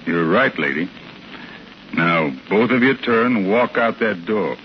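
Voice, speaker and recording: An actor playing a menacing, terse killer giving orders to hostages in a 1950s radio drama. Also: male voice, fairly low pitch, expressive, unhurried, a little hiss or hum. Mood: cold menace. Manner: terse, commanding. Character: cold, menacing killer holding a couple hostage. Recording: muffled old radio recording.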